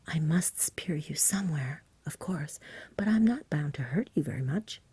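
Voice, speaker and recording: A woman voicing a frank, patient, confident male knight in a breathy whisper, courteous and persuasive. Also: sits low, animated, normal speed, dark tone, no room sound, heavily acted. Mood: thoughtful.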